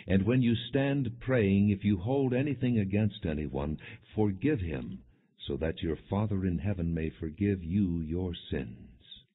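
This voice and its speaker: A man reading the part of an earnest, commanding male religious teacher in a scripture audiobook, with emphatic, deliberate authority. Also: sits low, breathy edge. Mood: earnest, assured. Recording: muffled phone-like lo-fi audio.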